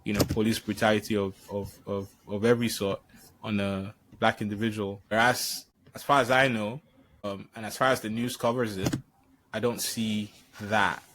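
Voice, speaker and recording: A man's voice: fairly low pitch, flat delivery, moderate pace, fairly bright tone, a little hiss or hum, low-quality recording. A man who grew up in Nigeria, hosting a podcast interview and speaking hesitantly, often repeating words as he thinks. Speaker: podcast host. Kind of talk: conversational interview.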